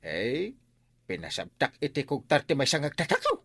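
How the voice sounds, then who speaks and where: A man with an Ilocano accent playing a boastful, excitable village man in a radio comedy drama, exaggerated and comic, with vocal sound effects. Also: medium pitch, moderate pace, dry recording, heavily acted. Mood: boastful, animated.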